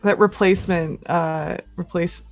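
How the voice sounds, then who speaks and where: An American woman talks as a co-host on a casual gaming podcast. Her voice sits a little low. She speaks in a tentative, musing way, thinking aloud as she goes. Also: steady tone, measured pace, gravelly, faint music behind. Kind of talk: casual video game discussion.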